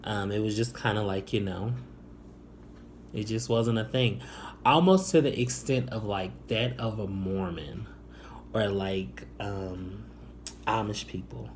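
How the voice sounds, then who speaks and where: Young man, hesitant, lots of ums, soft-spoken, faint room noise. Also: on the low side. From Northwest Indiana, Chicago area. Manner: hesitant, halting, many ums and restarts. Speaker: vlogger. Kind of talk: personal storytelling to camera.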